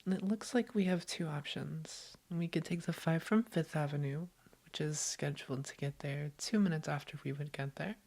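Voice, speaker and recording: A woman playing a doting, gently teasing girlfriend in an intimate roleplay audio, affectionate and reassuring. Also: low-pitched, moderate pace, gravelly, hardly any pauses. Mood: easygoing, caring.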